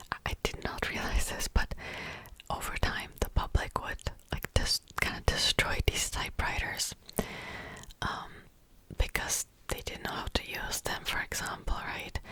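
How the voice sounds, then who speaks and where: A woman's voice: pushed and bright, rough recording. A young woman whispering a rambling, casual chat about books as an ASMR host, close to the mic, with many fillers. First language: Czech.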